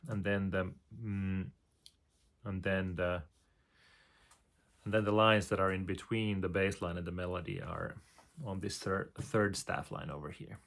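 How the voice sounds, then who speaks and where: A man talks through his composing step by step, pausing often on fillers and humming short melody lines. His voice sits low with a gravelly, creaky edge. He explains things in a hesitant, searching way. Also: fairly even intonation, fairly bright tone. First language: Finnish.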